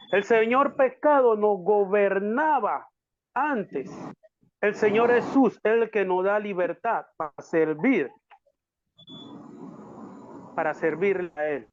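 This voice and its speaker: A man preaching a sermon as a pastor, haltingly, repeating words for emphasis. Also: sits high, lively intonation, a little hiss or hum. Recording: echoey, dull lo-fi audio.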